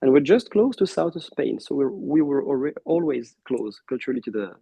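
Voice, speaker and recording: A man from Belgium who first spoke Arabic, a guest in a casual interview, talking in a warm, reflective way with many restarts and fillers. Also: mid-range voice, lively intonation, normal speed, creaky, husky, dry recording.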